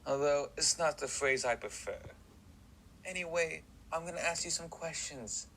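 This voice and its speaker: A man playing a prison psychiatrist who asks measured, inquisitive questions in an audio drama. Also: fairly high pitch, fairly bright tone, slight background noise, low-quality recording. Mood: inquiring. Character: male prison psychiatrist, probing and polite. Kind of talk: fan audio drama acting.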